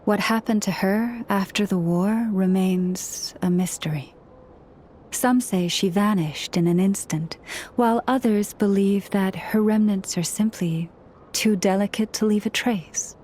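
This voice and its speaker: Woman playing a slow, serene moon priestess. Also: mid-range voice, darkish tone, a little hiss or hum, natural voice. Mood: solemn, reverent. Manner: measured, solemn, formal. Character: serene, modest young priestess of a moon goddess. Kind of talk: video game storytelling dialogue.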